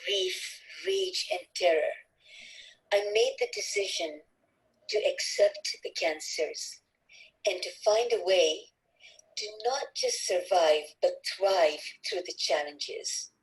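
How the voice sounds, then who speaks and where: A woman from Tanzania reading a prepared introduction about herself, slow and measured with wide pitch swings. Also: big pitch swings, poor audio. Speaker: author.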